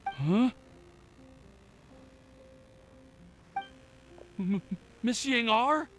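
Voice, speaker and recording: High, flustered, stammering young alchemist. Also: male voice, lively intonation, slow pace, a bit bright, a little hiss or hum, full character voice. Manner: stammering, hesitant, sighing. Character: anxious, lovesick, flustered young male alchemist. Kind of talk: anime-style game voice acting.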